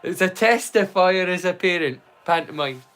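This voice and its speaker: A man's voice: high voice, lively intonation, moderate pace, fairly bright tone. A man hosting a casual livestream, chatting to viewers in a rambling, stop-start way and repeating words mid-sentence. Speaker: livestream host.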